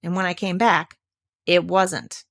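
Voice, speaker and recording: A woman's voice: fairly low pitch, steady tone, measured pace, creaky. A woman voicing a gloomy, resigned male donkey from a children's storybook, with a mournful, plodding manner. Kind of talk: children's storybook character reading.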